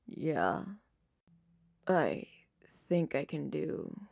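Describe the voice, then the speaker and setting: Injured young man, played by a female reader: very slow, raspy, faint, apologetic, audiobook drama. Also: sits low, very animated, darkish tone, no room sound, dull audio, voice pushed down, full character voice. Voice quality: raspy, breathy, broken by coughs. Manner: halting, faint, hesitant. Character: injured, weak, apologetic young man, strangled and struggling to speak.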